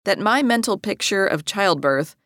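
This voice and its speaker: A middle-aged American woman reading her own personal memoir as an audiobook in a measured, articulate way. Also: mid-range voice, lively intonation, fairly bright tone, no echo, studio quality. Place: dry recording studio.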